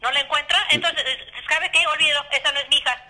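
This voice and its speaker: A woman's voice: sits high, steady tone, fast talker, sharp tone. A middle-aged woman, chatting casually over a telephone line. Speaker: phone call recipient. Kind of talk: casual phone conversation.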